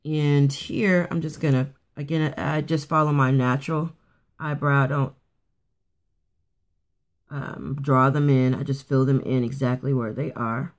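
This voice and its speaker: A woman with a raspy morning voice casually talking through a quick makeup routine, rambling a bit with fillers and restarts. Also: a bass voice, fairly even intonation. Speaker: beauty vlogger. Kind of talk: casual makeup tutorial chat. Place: at home getting ready for work.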